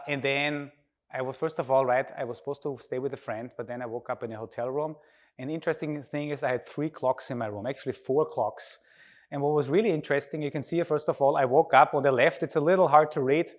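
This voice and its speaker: A man's voice: medium pitch, fairly even intonation, brisk. A man from Austria with an Austrian accent and native German, speaking informally as he gives a conference talk and engages his audience with questions.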